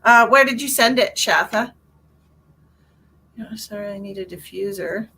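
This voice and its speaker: A woman's voice: mid-range voice, moderate pace, vocal fry, bright, forceful tone, slight background noise. A woman hosting a tarot livestream, chatting casually and rambling as she answers viewer comments, a little stuffy-nosed, talking from her car. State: stuffy nose.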